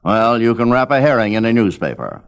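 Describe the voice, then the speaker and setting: A man's voice: medium pitch, big pitch swings, a little fast. A man reading scripted documentary narration as the narrator of a history of radio.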